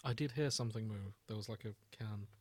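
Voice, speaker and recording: A man's voice: on the low side, fast talker, slightly rough, dry recording. A man co-hosting a podcast with casual, joking chat. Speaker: podcast co-host.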